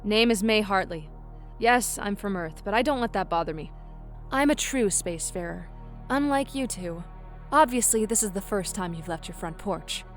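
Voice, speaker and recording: A woman's voice: mid-range voice, expressive, moderate pace, faint music behind, natural voice. A woman playing a sassy, boastful human spacefarer in a space comedy audio drama, brash and scornful. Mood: cocky.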